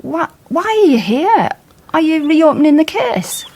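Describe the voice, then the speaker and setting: A woman's voice: high voice, big pitch swings, measured pace, darkish tone, full character voice. An actress playing a lonely, wary woman who speaks wistfully and anxiously. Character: wary, lonely middle-aged daughter.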